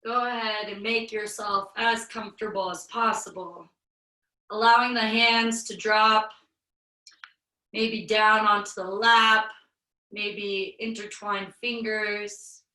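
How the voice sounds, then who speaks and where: A woman yoga instructor with a slight head cold, guiding a virtual class through breathing in a slow, soothing way. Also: medium pitch, fairly even intonation, pushed and bright, echoey room, far from the mic, poor audio.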